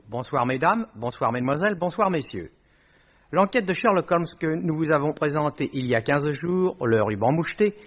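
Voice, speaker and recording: A man's voice: a little high, a bit bright. A man presenting a radio series with a formal, scripted introduction, polished and courteous in manner. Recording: dull old radio broadcast recording.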